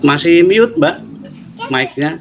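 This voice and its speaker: A middle-aged man with a Central Javanese accent, a university program head who gives a relaxed, explanatory interview from home over a video call, pausing often on "eh". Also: on the high side, vocal fry, slight background noise. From Solo, Central Java. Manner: relaxed, explanatory, with frequent "eh" pauses.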